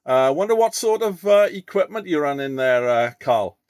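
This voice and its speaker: A man speaks in a slightly high register. He chats casually as a radio operator, pausing and doubling back on his phrases as he goes. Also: very animated, heavy background noise. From Wales. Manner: hesitant, repeats himself. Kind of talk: casual radio contact chat.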